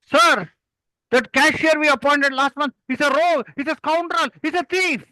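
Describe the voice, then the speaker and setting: A man's voice: very high voice, steady tone, moderate pace, bright, forceful tone, no room sound, voice pushed up, poor audio. A man with an Indian accent playing an alarmed, indignant office manager in a spoken anecdote, urgent and flustered.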